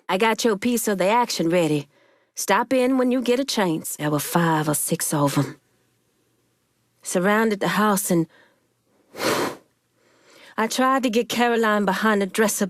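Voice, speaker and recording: An actress voices a proud, guarded female crime boss for a video game. The American voice sits at a middle pitch, close and dry. The delivery is measured and commanding, streetwise and pointed. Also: no room sound, natural voice. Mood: calm, wry, then grieving, bitter. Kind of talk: video game character dialogue.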